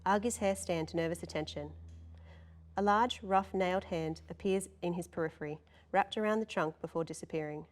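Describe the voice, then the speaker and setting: A woman's voice: lowish voice, a little fast. A woman narrator reading scene descriptions and stage directions from a script.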